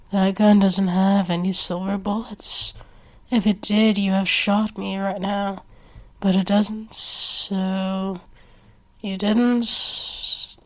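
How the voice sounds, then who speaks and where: A woman performing an acted ASMR roleplay. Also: medium pitch.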